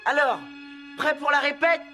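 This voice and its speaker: Cheeky, bright-voiced cartoon schoolboy. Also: female voice, high voice, normal speed, faint music behind. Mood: excited. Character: cheeky schoolboy, smooth-talking and excitable. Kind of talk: French cartoon dub acting.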